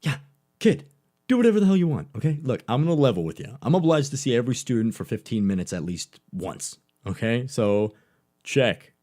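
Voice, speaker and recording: An actor playing a jaded, distracted school counselor in a scripted comedy, flippant and halting. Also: male voice, mid-range voice, very animated, warm tone, close to an everyday voice. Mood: dismissive. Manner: flippant, halting, muttering asides. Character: jaded, distracted male school guidance counselor, careless and awkward.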